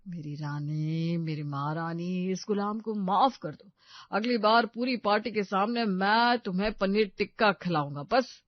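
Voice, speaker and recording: A woman's voice: mid-range voice, moderate pace, hardly any pauses, no echo, highs cut off, lightly acted. A woman voicing an embarrassed, henpecked young boyfriend who pleads and soothes with resigned patience in an audio drama. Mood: pleading.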